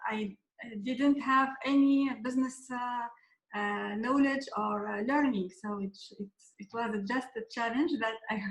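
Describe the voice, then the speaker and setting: A woman from Tunisia, a guest coach and former dentist, speaking haltingly with many fillers and restarts in a casual interview. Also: fairly high pitch, a bit bright. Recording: echoey room.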